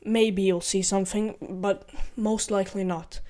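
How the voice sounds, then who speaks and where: A man's voice: high voice, fairly even intonation, measured pace, darkish tone. A young man from Ukraine with a mixed Ukrainian and Norwegian accent gives casual vlog advice. He talks in an earnest, rambling way, often correcting himself and repeating his points. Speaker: vlogger.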